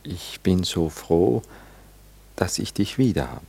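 An actor playing a courteous, reserved gentleman in a radio play, speaking in a polite, reflective and evasive way. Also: low-pitched, animated, slow, vocal fry, breathy edge, heavily acted. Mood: quiet, sincere. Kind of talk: intimate radio play dialogue.

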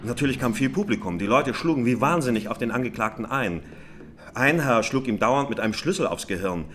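An actor playing a plain-spoken, agitated eyewitness, vividly retelling events as courtroom testimony in a radio drama. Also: male voice, medium pitch, expressive, brisk, fairly bright tone, faint music behind, lightly acted. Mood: matter-of-fact, vivid. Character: male eyewitness, plain-spoken, agitated, recounting a street arrest.

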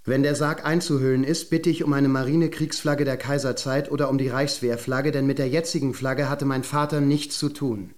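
Man acting resolute officer son, formal, stiffly polite, radio drama dialogue, mid-pitched. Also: fairly even intonation, fairly quick, few pauses, a little mellow, natural voice. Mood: firm, formal. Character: firm, stiffly polite officer son arguing over his father's funeral.

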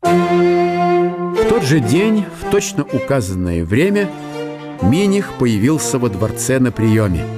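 A man's voice: medium pitch, big pitch swings, slow, pauses often, over music. A man narrating a historical radio play as dramatic literary storytelling.

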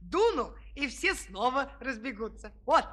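Slow-drawling young shepherd lad. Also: female voice, high voice, big pitch swings, a bit bright, exaggerated voice. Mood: amused, confident. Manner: simple, drawling, slyly polite. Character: sly, good-natured, unhurried young shepherd lad. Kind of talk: children's audio play acting.

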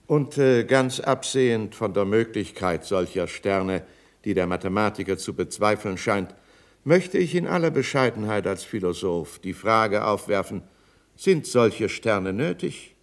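An actor playing a smug, pompous court philosopher in a 1960s German radio play, with florid, ceremonious phrasing. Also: male voice, fairly low pitch, expressive, a little slow.

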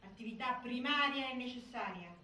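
A woman's voice: a little high, moderate pace, sharp tone. An actress playing a bitter, delusional imprisoned militant woman in an intense, declamatory stage monologue. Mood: proud, defiant. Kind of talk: theatrical monologue. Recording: echoey stage from far off, faint noise.

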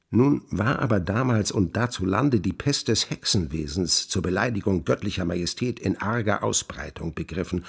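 A man playing a grandiloquent scholar in a radio play, recounting witchcraft lore with relish in declamatory, archaic phrasing and emphatic pauses. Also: lowish voice, creaky, husky, heavily acted. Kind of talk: theatrical literary storytelling in a radio play.

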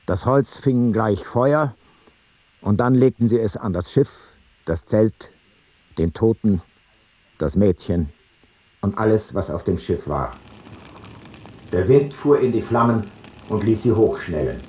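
An actor playing a sober chronicler in a radio play, reading old travel logbooks in a measured, husky, matter-of-fact voice. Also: male voice, on the low side, lively intonation, dark tone, no room sound, full character voice. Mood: grave, detached. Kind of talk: literary radio play narration.

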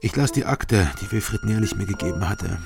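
An actor playing a weary, brooding detective who narrates a radio crime drama in terse, melancholy phrases with a gravelly voice. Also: male voice, on the low side, animated, fairly quick. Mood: brooding, bleak. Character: weary, brooding ex-border-guard detective narrating his story. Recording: loud music behind.

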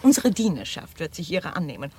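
A woman playing a motherly, soothing member of a genteel household in a German radio drama, with a husky voice. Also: sits low, big pitch swings, fast talker, dark tone, exaggerated voice.

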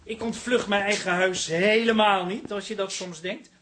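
A man's voice: high voice, fairly even intonation, moderate pace, sharp tone, slight background noise. An actor playing a grumpy, evasive man in a Dutch radio drama, curt and defensive. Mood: sullen. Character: grumpy, evasive man hiding from his own household.

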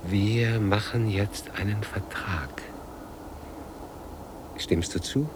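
A man acting a weary, despairing figure in a radio drama, speaking in terse, halting phrases. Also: lowish voice, background noise. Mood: resigned, sombre. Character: weary, despairing man who wants to die.

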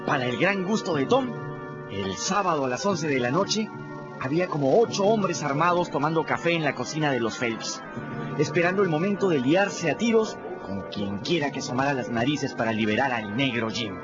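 A man's voice: on the high side, animated, moderate pace, dull audio, over music, lightly acted. Man narrating as a plain-spoken boy. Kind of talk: narrating a radio drama.

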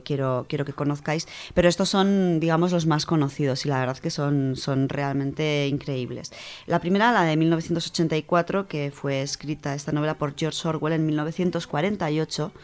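Low-voiced woman explaining on radio. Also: a little mellow. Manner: thoughtful, with frequent hesitations and restarts. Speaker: radio host presenting a book segment.

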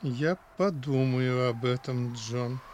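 Актёр играет почтенного сельского священника, набожного и торжественного, в радиоспектакле 1960-х. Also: male voice, mid-range voice, slow, crowd noise, natural voice. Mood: hesitant agreement. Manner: solemn, exclamatory. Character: venerable, pious village clergyman, sanctimonious and hesitant. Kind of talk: 1960s radio drama acting. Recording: 1960s radio, faint background noise.

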